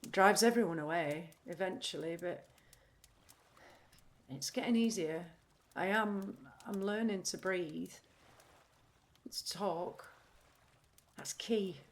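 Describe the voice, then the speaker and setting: An anxious, halting woman. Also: fairly low pitch. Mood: subdued, confessional. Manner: halting, searching for words, repeats herself. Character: troubled, candid, anxious neurodivergent woman. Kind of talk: audio play acting, confessional monologue.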